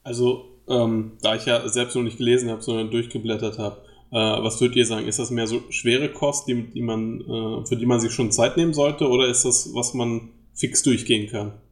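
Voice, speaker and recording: A man hosting a casual podcast discussion, explaining things haltingly with many fillers. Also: mid-range voice, fairly even intonation, reverberant. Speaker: podcast host.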